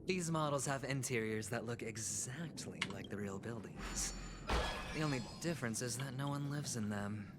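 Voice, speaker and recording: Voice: mid-range voice, moderate pace, very noisy, close to an everyday voice. An actor playing a curious, wry adventurer musing aloud in scripted video game dialogue. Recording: game music behind the voice.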